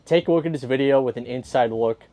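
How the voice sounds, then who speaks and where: A man's voice: medium pitch, quick pace, dark tone. A man presenting a scripted video report as its host.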